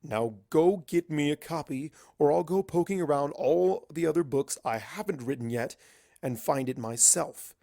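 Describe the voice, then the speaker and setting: A man's voice: a little high, expressive, normal speed, gravelly, mellow, no room sound, studio quality. A man voicing an arrogant, irritable male pony wizard with a blunt, bossy manner in a story reading. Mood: irritated, commanding.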